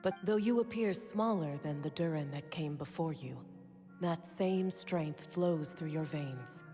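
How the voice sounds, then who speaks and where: A woman playing a cold, imperious master alchemist, speaking in a measured, formal, detached way in a video game. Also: low-pitched, dark tone. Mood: cold, solemn. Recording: long hall-like reverb, game music.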